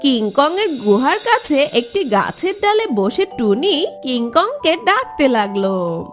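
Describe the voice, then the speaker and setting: A woman narrates a children's cartoon story in a high voice. She takes her time, with very lively intonation, over soft background music. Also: takes it slowly.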